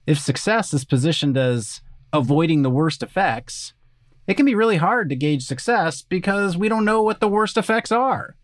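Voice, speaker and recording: A man hosting an interview podcast, asking curious, reflective questions. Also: a little high. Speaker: podcast host.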